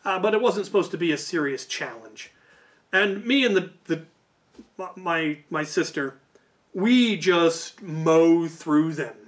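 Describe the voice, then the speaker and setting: Higher-pitched man telling a casual story. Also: slow. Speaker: host. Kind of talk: casual storytelling of a tabletop game campaign.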